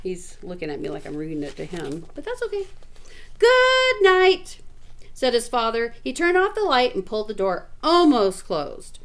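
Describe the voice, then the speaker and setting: A woman reading a picture book aloud in an animated, expressive way, with chatty asides to the listener. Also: mid-range voice, brisk, few pauses. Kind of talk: animated storybook read-aloud with casual asides.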